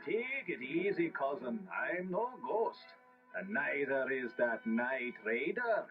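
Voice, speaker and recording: A man's voice: medium pitch, sing-song, slow. A man playing a kindly, genial cartoon lord with a Scottish accent in a children's story. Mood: reassuring. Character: kindly Scottish lord, Goofy's long-imprisoned cousin. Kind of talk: children's story cassette acting. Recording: tinny, muffled old cassette audio. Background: background music.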